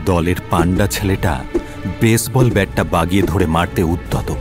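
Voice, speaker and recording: A man's voice: deep voice, normal speed. A man narrating a suspense story in a dramatic, scripted style. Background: music.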